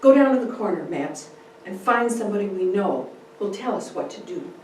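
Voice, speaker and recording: A woman's voice: medium pitch, lively intonation, normal speed, darkish tone, natural voice. A woman playing a fearless, commanding frontier woman in a dramatic story reading. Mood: firm. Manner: firm, commanding. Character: fearless, defiant, calm-headed frontier woman Kitty. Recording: roomy echo, distant mic, faint noise.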